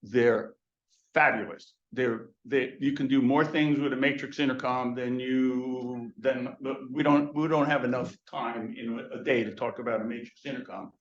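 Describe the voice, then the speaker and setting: A man's voice: mid-range voice, steady tone, normal speed, muffled recording. An older man presenting on theater intercoms in a webinar, talking in loose runs with many restarts and fillers. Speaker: intercom industry educator.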